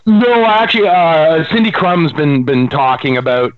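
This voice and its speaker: A man's voice: on the high side, a little slow, dry recording, narrow, tinny audio. A man co-hosting a casual talk show while stuffy from a cold, rambling and searching for words. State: stuffy, getting over a cold. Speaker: podcast co-host. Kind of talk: casual chat.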